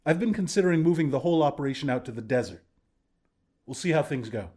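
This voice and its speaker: An idealistic young rebel leader from a video game, acted by a man. His voice sits a little higher than most men's. He talks like a sincere, approachable comrade, expressive and conversational, with an earnest streak of self-doubt. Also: fast, close to an everyday voice. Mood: worried. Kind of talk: video game character dialogue.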